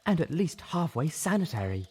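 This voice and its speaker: A man voicing a gentle but firm female pony medic in an audiobook, measured and reasoning. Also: a little high, very animated, husky, voice pushed up, full character voice. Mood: stern, reasoning.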